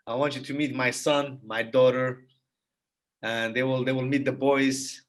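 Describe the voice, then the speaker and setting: A man's voice: mid-range voice, level tone, measured pace. Earnest man. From Iraq. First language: Kurdish. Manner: earnest and emphatic, repeating phrases for effect. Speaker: guest. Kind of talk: heartfelt conversation.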